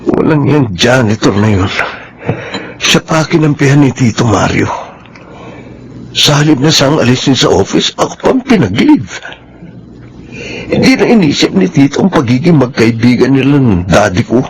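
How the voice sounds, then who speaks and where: A man's voice: mid-range voice, sing-song, moderate pace, pauses often, a little hiss or hum, rough recording. An actor playing a hot-tempered, arrogant young rich man who schemes as an obsessive suitor in a Filipino radio drama. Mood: bitter, plotting. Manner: menacing, arrogant.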